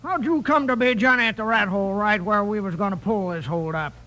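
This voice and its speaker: An actor playing an ornery, bossy outlaw gang leader with an American cowboy accent in an old radio western. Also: male voice, high-pitched, brisk, bright, forceful tone. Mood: grudging. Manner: bossy, rough-talking. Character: ornery snarling outlaw gang boss, male. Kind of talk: 1950s radio western acting. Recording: very noisy old radio recording, clatter.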